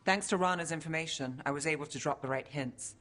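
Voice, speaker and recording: Low-voiced, guarded, wry detective. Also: female voice, fast talker, fairly bright tone. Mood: matter-of-fact. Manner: dry, clipped. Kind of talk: cinematic video game acting.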